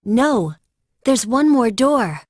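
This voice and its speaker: A woman's voice: on the high side, very animated, slow, a little mellow, no room sound, studio quality, full character voice. A woman voicing a polite, earnest android girl with precise, formal speech in an anime game dub. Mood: matter-of-fact.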